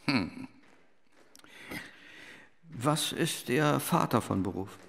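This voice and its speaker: A middle-aged army doctor in a radio drama, echoing in a big hall. His voice is creaky and he takes his time over each sceptical question. He carries himself with stern authority. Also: medium pitch, lively intonation, very slow, fairly bright tone, heavily acted. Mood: probing, sceptical. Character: probing, authoritarian male army doctor examining a recruit. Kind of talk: radio play dialogue. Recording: long hall reverb.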